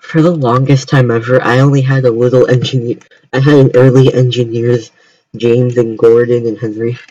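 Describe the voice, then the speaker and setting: A man's voice: mid-range voice, flat delivery, mellow, no room sound. Young american guy chatting on stream, keeps restarting sentences, kinda dull lo-fi mic. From United States. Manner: halting, repetitive starts. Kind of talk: casual chat on a livestream.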